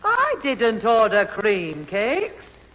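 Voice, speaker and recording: A woman's voice: medium pitch, huge pitch swings, extremely slow, fairly bright tone, full character voice. A woman playing a homely, long-suffering middle-aged mother with a Northern English accent in a 1970s radio comedy. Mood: brisk, exasperated. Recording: hall sound, faint hiss.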